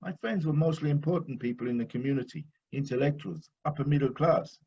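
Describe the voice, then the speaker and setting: An actor playing a proud, boastful Dutch painter in an audio play, grandiose and self-satisfied in manner. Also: male voice, on the high side, brisk, a little mellow, husky, dull audio. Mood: smug. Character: pompous, boastful old-master Dutch painter, touchy and proud.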